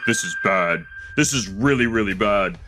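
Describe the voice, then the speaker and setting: A man voicing a scheming, panicky CEO who smooths over his lies in a manga dub. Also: on the low side, expressive, moderate pace, music in the background, lightly acted. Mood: panicked inner thoughts.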